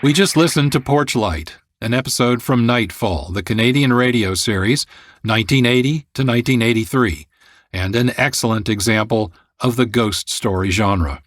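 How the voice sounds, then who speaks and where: A man reading scripted narration as a radio host in a measured, formal manner. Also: medium pitch, no room sound, studio quality. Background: faint music.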